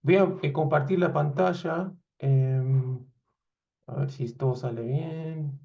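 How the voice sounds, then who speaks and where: A man's voice: fairly high pitch, slow pace, gravelly, mellow, muffled recording. A man in his forties from Argentina with an Argentine accent, giving a veterinary imaging lecture over a video call, hesitant with many fillers and restarts. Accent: Argentine Spanish. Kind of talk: webinar lecture.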